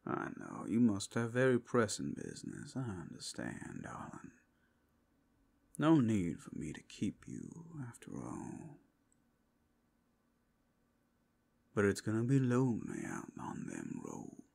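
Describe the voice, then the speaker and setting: A man voicing a flirtatious, sly male werewolf in an ASMR roleplay, with teasing, drawn-out asides. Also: lowish voice, huge pitch swings, vocal fry, a little mellow, full character voice. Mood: flirtatious, wistful.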